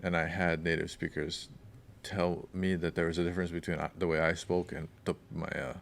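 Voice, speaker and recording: A man's voice: low-pitched, level tone, fairly quick, vocal fry, a little hiss or hum. A man co-hosting a podcast, chatting casually and telling long, rambling stories that sometimes lose their thread. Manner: rambling, storytelling, loses his thread. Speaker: podcast co-host.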